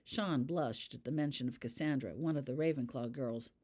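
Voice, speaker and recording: A woman's voice: rumbling low, moderate pace, a little mellow. A woman reading scripted fiction aloud as an audiobook narrator. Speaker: author reading their own fan fiction.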